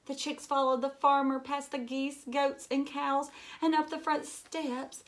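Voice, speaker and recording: A woman reading a children's picture book aloud in an expressive, emphatic way, with asides and questions to young listeners. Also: high-pitched, unhurried.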